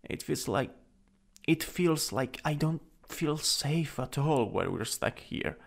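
A man playing a shy, lovestruck coworker in an intimate boyfriend ASMR roleplay, speaking softly and hesitantly, at times nearly whispering. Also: fairly high pitch, lively intonation, heavily acted. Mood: vulnerable, wistful. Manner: hesitant, halting, breathy drops into near-whisper. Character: shy lovestruck male coworker confessing to his crush.

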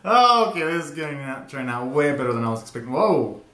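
A man in his twenties giving a casual, excitable live reaction commentary full of slangy exclamations, recorded in a small bedroom. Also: a little high, quick pace. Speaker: reaction video host. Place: small bedroom at home, indoors. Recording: roomy, echoey home recording.

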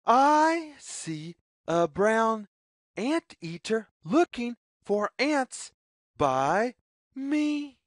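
Slow, sing-song woman reading to children. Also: a little high, very animated, no room sound, studio quality. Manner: deliberate, sing-song phrasing. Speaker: storyteller reading aloud to children. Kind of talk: read-aloud children's picture book, slow sing-song.

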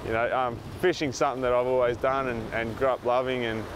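A young man with an Australian accent chatting casually and relaxed, with fillers, on a beach. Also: mid-range voice, a little fast. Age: young adult. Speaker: footballer interviewee. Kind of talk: casual chat. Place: outdoors on a beach. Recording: outdoor wind and surf noise. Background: wind and surf.

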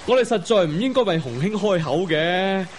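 An actor playing a fussy, fretful gentleman of old China in a Cantonese radio drama. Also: sits high, lively intonation, normal speed, slight background noise. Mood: flustered.

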